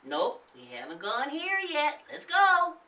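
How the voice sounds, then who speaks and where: Storybook kid role, man performing: brash, teasing young boy, high swooping voice, echoey room. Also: huge pitch swings, slow, pushed and bright, recorded from a distance, heavily acted. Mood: impatient, eager. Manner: giggling, snickering bravado. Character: bold, teasing young boy, cocky trick-or-treater.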